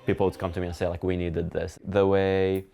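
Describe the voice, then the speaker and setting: Syrian guy in his thirties, low gravelly voice, talks softly with lots of you know and like, faint music under it. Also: little pitch movement, fairly quick. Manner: soft-spoken, hedging with fillers. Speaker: interviewee, concert organizer. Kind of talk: reflective interview talk.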